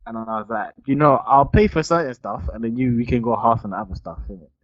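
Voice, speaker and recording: A man's voice: medium pitch, expressive, quick pace, no echo. A young man, telling a long story in casual podcast chat and tagging his sentences with "innit". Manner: rambling storytelling, tags "innit" often. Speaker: podcast co-host. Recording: dull lo-fi dry sound.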